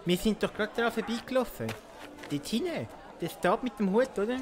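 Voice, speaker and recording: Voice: mid-range voice, animated, brisk, crowd noise, noisy background, lightly acted. A performer playing a sulky, easily embarrassed young boy in a comic audio play, whiny and exasperated. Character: Walti, embarrassed, sulky young son of a hero.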